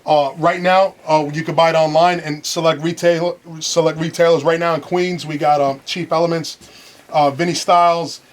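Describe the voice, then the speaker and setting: A man's voice: fairly high pitch, flat delivery, normal speed. A middle-aged man talking casually in a recording studio about his clothing line, full of restarts and "you know". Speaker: streetwear brand founder. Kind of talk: casual chat. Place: recording studio control room.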